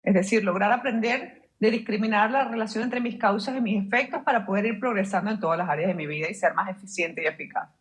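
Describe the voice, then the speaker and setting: A woman's voice: medium pitch, fast, hardly any pauses. A woman guest explaining her ideas fluently in an interview, emphatic and full of rhetorical questions. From Venezuela. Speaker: guest, mindset mentor.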